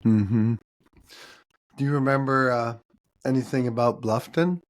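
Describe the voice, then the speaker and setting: A man's voice: medium pitch, fairly even intonation, unhurried. A man, hosting a podcast and asking questions in a conversational style. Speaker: podcast host, interviewer. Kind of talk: conversational interviewing.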